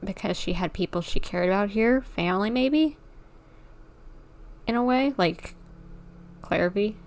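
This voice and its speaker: A woman's voice: medium pitch, a little slow. A woman streamer giving casual live commentary on a game, musing and speculating aloud as she plays.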